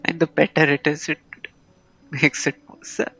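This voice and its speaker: A man giving casual live gameplay commentary, halting and musing aloud as he streams. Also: a little high, level tone, sharp tone. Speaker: game streamer. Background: game music.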